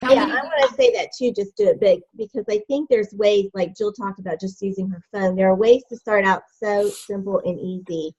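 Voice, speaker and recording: A woman's voice: mid-range voice, a little fast, hardly any pauses, a little mellow, breathy edge. A woman on a video call, speaking in an encouraging way. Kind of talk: casual video call chat. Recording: dull lo-fi call audio.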